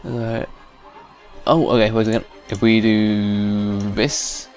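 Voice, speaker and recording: A man's voice: lowish voice, flat delivery, slow. Chatty man with faint music behind. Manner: chatty, hums to self. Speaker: gamer, let's play host. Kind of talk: casual gameplay commentary.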